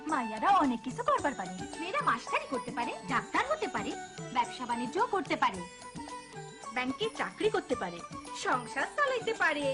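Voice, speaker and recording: A woman's voice: high-pitched, huge pitch swings, fairly quick, music in the background. A woman voicing an earnest village woman in a children's cartoon, with a Bangladeshi accent. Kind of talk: cartoon voice acting.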